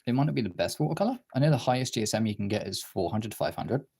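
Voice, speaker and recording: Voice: medium pitch, animated, rapid-fire, mellow, slight background noise. Someone giving a casual hands-on art tutorial, rambling and thinking aloud while working.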